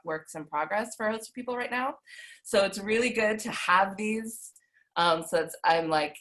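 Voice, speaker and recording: A woman theatre director giving a rambling, hesitant update on a video call. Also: fairly low pitch. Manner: rambling, hesitant, soft-spoken.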